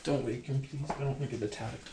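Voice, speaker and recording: A woman's voice: a bass voice, fairly even intonation, a little fast, noisy background. A woman exploring a rock crevice, sounding wary and reluctant. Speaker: cave explorer. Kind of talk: casual chat.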